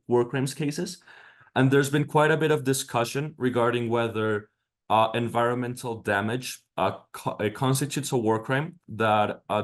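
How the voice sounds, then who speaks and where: A man presenter answering questions at an academic workshop, hesitant with many fillers and restarts. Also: mid-range voice.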